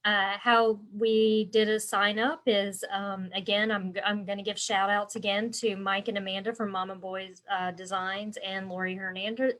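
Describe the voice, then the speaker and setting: A woman's voice: mid-range voice, flat delivery, normal speed, few pauses, a bit bright. A woman with a congested voice, hosting a casual live stream as an embroidery instructor and chatting with viewers by name. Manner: chatty and rambling, answering viewer comments by name.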